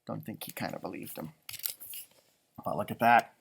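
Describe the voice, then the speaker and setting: A man's voice: fairly low pitch, normal speed, creaky, slight background noise. A man reading a children's story aloud, adding chatty, improvised asides about the pictures. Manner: animated, chatty commenting on pictures. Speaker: storybook reader.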